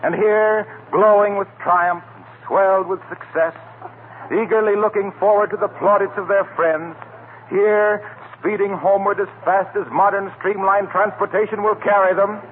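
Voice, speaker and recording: An American man announces a vintage radio show in a high voice. His scripted narration is florid and theatrical in the 1940s manner. The recording is narrow and crackly with noise. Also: fairly even intonation, moderate pace. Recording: scratchy, tinny 1940s radio broadcast.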